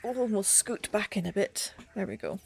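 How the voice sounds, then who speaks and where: A woman's voice: medium pitch, animated, normal speed, gravelly, a little mellow, soft background music. A woman streaming a game, chatting casually and self-deprecatingly while she plays, her voice slightly nasal. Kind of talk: casual gameplay commentary. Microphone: desk mic set back a little.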